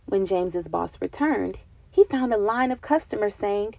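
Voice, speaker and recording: A woman reading a picture book aloud for children, with expressive, deliberate phrasing and dramatic emphasis. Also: mid-range voice, vocal fry, no echo. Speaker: children's read-aloud narrator. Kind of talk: expressive storybook narration for children.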